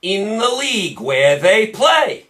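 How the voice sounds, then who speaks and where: A man hosting a solo sports picks show, hoarse and losing his voice, talking emphatically and repeating points for stress. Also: high-pitched, very animated, takes it slowly, a bit bright.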